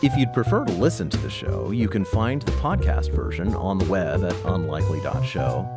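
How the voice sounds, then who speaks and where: A man hosting and narrating a comic audio drama in scripted style. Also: fairly low pitch. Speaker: host and narrator. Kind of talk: scripted story narration and announcements.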